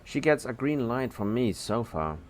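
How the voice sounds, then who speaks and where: A man's voice: mid-range voice, very animated, moderate pace, close to an everyday voice. Guy as a keen alien pilot lady on a starship, respectful, bit of noise behind. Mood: pleased.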